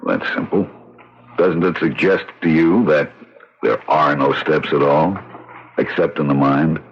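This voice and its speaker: An actor playing an unruffled, probing psychiatrist with a measured, formal manner, in old-time radio drama style. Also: male voice, very deep voice, breathy edge, heavily acted. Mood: calm, probing. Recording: dull vintage radio recording.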